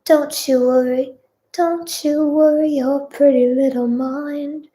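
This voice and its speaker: A woman's voice: on the high side, takes it slowly. A woman voicing a doting, worried husband in a story, with a British accent and a tender, coaxing manner.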